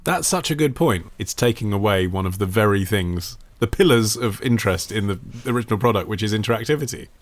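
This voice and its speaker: A man's voice: on the low side, expressive, a little fast, hardly any pauses. A man co-hosting a podcast with casual, conversational banter. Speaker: podcast co-host.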